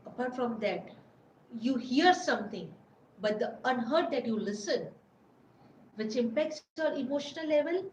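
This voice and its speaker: A woman's voice: fairly high pitch, expressive, normal speed, a little hiss or hum. A woman giving an informal webinar talk, often repeating herself and searching for words. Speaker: webinar presenter. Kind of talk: informal lecture. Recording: roomy recording with faint background ambience.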